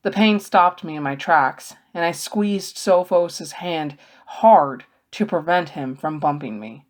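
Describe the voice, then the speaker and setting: A woman narrates a story in the first person. Her voice is slightly low, and she reads at a slow pace, as an audiobook narrator would with scripted prose.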